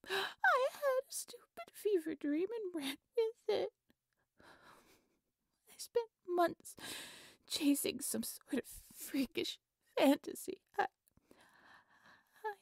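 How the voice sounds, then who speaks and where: A woman playing an obsessive, devoted female cult leader in a roleplay audio drama, with a breathy, reverent, theatrical delivery. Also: extremely high pitch, dry recording, clean studio sound, heavily acted. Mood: despairing. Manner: breathy, reverent, theatrical incantation with nervous stammers.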